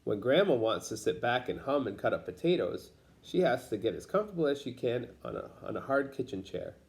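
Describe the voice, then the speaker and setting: A man's voice: medium pitch, sing-song, brisk. Man reading a children's picture book aloud. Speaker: storybook reader.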